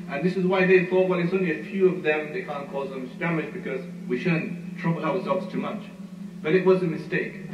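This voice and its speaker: A man giving a history lecture, telling the story at an unhurried storyteller's pace with frequent "uh" fillers. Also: fairly high pitch. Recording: muffled, roomy, far from mic, noisy.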